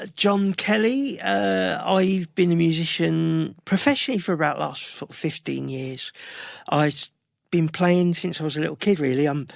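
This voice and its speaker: A middle-aged man with a London accent talks casually as an interview guest, wandering through anecdotes. His voice sits a little high for a man and has a creaky, gravelly edge. The recording is close and dry. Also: no room sound. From London, England. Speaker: guest musician.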